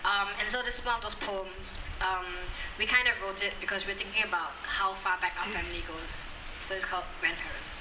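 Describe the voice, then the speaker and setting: A woman's voice: mid-range voice, steady tone, fairly quick, hardly any pauses. Young woman poet, echoey and far. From Singapore. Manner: chatty explaining, filled with ums between recited poems. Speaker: spoken word poet. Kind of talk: poetry performance and chat. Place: outdoor courtyard. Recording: echoey, distant, noisy outdoor recording.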